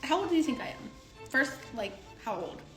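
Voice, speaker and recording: A woman's voice: high voice, big pitch swings, brisk, reverberant. A young woman of about twenty speaks casually to the camera, her tone rising and falling freely. Faint music plays behind her. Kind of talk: casual social media clip.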